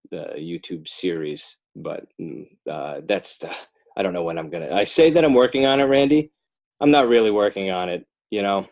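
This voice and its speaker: American man, slightly low voice, wry and self-deprecating, loose conversational interview guest. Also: a little mellow. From northern New Jersey, United States. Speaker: podcast guest, animator and children's author. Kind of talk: casual joking interview chat.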